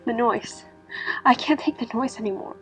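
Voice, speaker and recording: Woman acting a despairing, hushed doomsday witness. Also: fairly high pitch, moderate pace, faint music behind, lightly acted, poor audio. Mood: frightened, resigned. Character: despairing, frightened doomsday witness leaving a last message.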